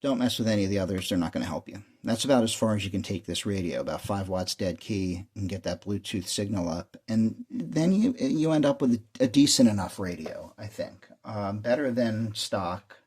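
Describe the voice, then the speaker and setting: A man with a husky voice giving an unscripted, matter-of-fact hands-on technical tutorial as the host. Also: on the low side, animated, moderate pace, few pauses, darkish tone. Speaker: host demonstrating radio repair.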